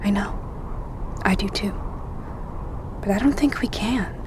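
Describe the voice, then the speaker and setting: A woman plays a steady, realistic female sergeant. She speaks very slowly, calm and hushed. Loud ambience and vehicle noise fill the background. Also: medium pitch, lightly acted. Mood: grim, hushed. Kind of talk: radio drama.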